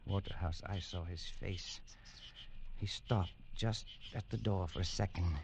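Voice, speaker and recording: A man's voice: low-pitched, fairly even intonation, measured pace, creaky, background noise. An actor playing a frightened male explorer in an old radio drama, narrating in a tense, stammering way. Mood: shaken. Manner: tense, stammering, jumpy delivery. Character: frightened adult male explorer recounting being hunted. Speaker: narrator and lead character. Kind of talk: 1940s radio drama acting. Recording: vintage radio broadcast with music bed.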